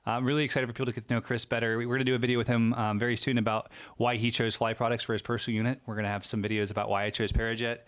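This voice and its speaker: A man's voice: medium pitch, little pitch movement, very fast, hardly any pauses, fairly bright tone. A man hosting a casual livestream Q&A, chatty and upbeat, rambling a little and losing his voice. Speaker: livestream host and shop owner.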